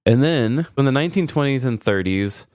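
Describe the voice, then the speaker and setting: A man's voice: mid-range voice, lively intonation, a little fast, darkish tone, dry recording, studio quality. A man co-hosting a podcast, telling funny stories in a casual, conversational way. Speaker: podcast co-host. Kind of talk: casual comedic storytelling chat.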